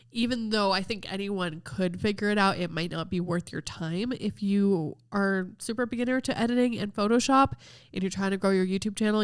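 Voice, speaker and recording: A woman hosting a podcast, chatting casually and upbeat about recommended videos while sounding stuffed up from a cold. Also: mid-range voice. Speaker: podcast host. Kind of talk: casual video review talk.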